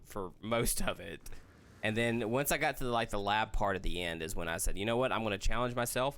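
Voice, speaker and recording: A man's voice: sits low, fairly bright tone. A man co-hosting a gaming show, chatting casually about video games.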